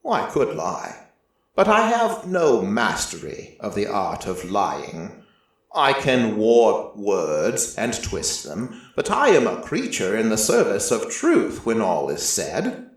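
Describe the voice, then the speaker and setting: A man narrating dramatic first-person fiction in a deliberate, theatrical storytelling manner. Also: on the low side, huge pitch swings. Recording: very echoey, distant from mic.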